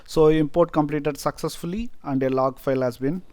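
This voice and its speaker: A man from India, a Tamil native speaker with an Indian accent, explaining a software feature step by step with many "uh" fillers. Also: fairly high pitch, brisk, dry recording. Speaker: software tutorial presenter. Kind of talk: screen-recorded software tutorial.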